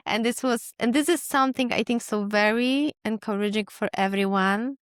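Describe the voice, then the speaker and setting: A woman's voice: on the high side, moderate pace, smooth, dry recording. Woman host, encouraging, chatty, dry close mic. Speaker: podcast host. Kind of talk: casual interview chat.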